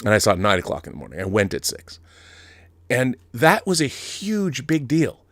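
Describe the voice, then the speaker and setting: A man's voice: mid-range voice, wildly swooping pitch, moderate pace, fairly bright tone, no echo. A man in his fifties hosting a film fan show with casual, emphatic commentary, often digressing and repeating phrases for stress. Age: 50s. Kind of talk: casual live commentary and reading viewer mail.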